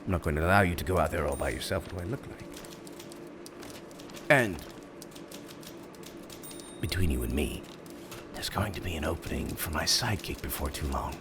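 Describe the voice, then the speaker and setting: A man's voice: low-pitched, noisy background, pitched below the performer's own voice. A man playing an urbane, smug supervillain in an ASMR roleplay, speaking in a courteous, theatrical and emphatic way. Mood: confiding, smug. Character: urbane, smug male supervillain interviewing a prospective minion.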